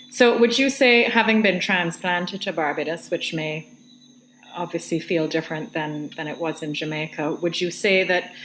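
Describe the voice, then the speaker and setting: A woman's voice: lowish voice, fairly bright tone, slight background noise, poor audio. A woman hosting an interview, asking questions with hesitations and fillers. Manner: hesitant, searching for words. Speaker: interviewer and project host.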